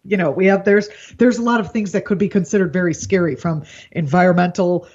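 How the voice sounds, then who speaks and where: A woman's voice: on the low side, fairly quick, a little mellow, husky. A woman comedian and news anchor talking politics as a guest in an earnest, rambling way, laughing easily. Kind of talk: casual political chat. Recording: dull lo-fi remote line.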